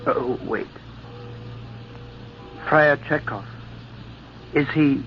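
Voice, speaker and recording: A compassionate monk in a vintage radio drama, speaking gravely and gently in a medium-pitched voice. Faint music sits behind him on the dull old recording. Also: male voice, very slow. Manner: gentle, solemn.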